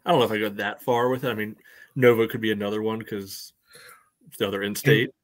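A man in his thirties with a fairly low voice joins a casual sports panel over a video call from home. His talk is loose and rambling, padded with little filler phrases. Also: level tone, fast. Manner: loose, rambling, peppered with "you know". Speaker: soccer podcast panelist. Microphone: video call microphone.

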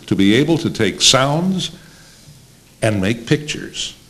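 A man's voice: medium pitch, sing-song, slow pace, a bit bright. A man speaking informally as part of a panel discussion. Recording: faint room noise.

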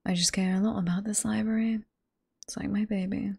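A woman with a British accent playing a flirty, teasing, sweet head librarian boss in a whispered ASMR roleplay, soft and coy, close to the microphone. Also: mid-range voice, steady tone, fairly quick, gravelly, husky, no echo. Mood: teasing, mock-stern. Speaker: voice performer in roleplay.

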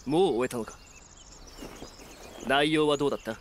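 A man's voice: mid-range voice, normal speed, a little hiss or hum, natural voice. Man as a cool, terse young adventurer. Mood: calm, dry. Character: young adult male adventurer, cool, resolute. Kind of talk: Japanese voice drama acting.

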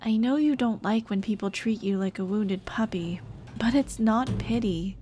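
A young woman voicing a dead girlfriend's caring, gently nagging ghost in an ASMR roleplay drama, tender and coaxing. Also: mid-range voice, normal speed, slight background noise, natural voice. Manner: tender, coaxing, soft-hearted scolding. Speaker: roleplay voice actress.

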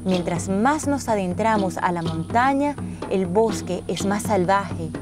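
A woman's voice: mid-range voice, expressive, measured pace, music in the background. A woman reading a scripted travel narration in the role of narrator and traveller.

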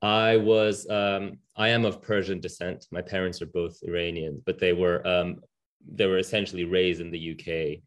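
A man's voice: low-pitched, steady tone, moderate pace. A man in his thirties, a Japanese native speaker, speaking as a barrister on a webinar panel over a video call from a home room, candid with frequent ums and restarts. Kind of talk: webinar talk.

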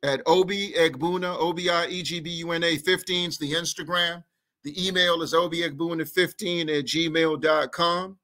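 A man's voice: fairly high pitch, fairly even intonation, a little fast, bright, forceful tone. A middle-aged man speaking in a video-call interview through a headset, delivering an impassioned political speech with rhythmic, repetitive lists. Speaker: guest activist. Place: indoor room at home.

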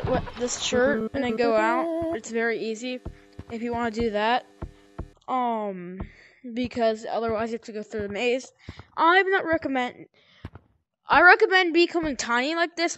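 A woman casually walking through a VR game tutorial on a headset mic, rambling and correcting herself, with sudden excited outbursts. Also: high voice, a little hiss or hum. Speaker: gameplay tutorial maker. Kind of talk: casual VR gameplay walkthrough.

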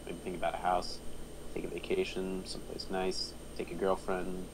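A man's voice: sits low, monotone, moderate pace, creaky, background noise. A man playing a blunt, profane, domineering boss in a screenplay table read. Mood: commanding. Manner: forceful, blunt. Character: profane, domineering big-shot male boss.

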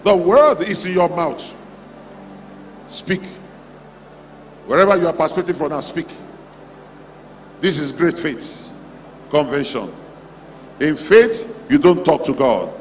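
Male charismatic preacher, higher-pitched, exhorting in repeated declarations, long hall reverb. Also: lively intonation, slow, long pauses, slight background noise. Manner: exhorting, repetitive short declarations. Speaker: preacher leading a convention. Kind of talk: charismatic prayer-and-declaration sermon. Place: large hall at a church convention. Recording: echoey hall PA, music underneath. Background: music.

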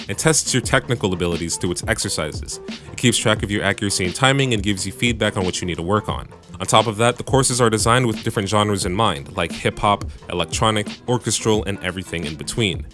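A man with a bassy voice casually narrating a home recording tutorial, with joking asides, on a studio mic in his home room. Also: fairly bright tone. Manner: laid-back, joking asides. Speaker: music producer and YouTube tutorial host. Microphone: studio microphone through audio interface.

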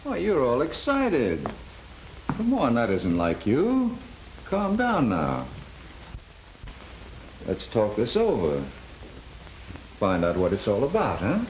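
An American actor in middle age playing a smooth, protective, troubled man in a 1930s film drama, speaking earnestly. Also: mid-range voice, huge pitch swings, slow, pauses often, poor audio. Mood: concerned, probing. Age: middle-aged. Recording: hissy 1930s film soundtrack.

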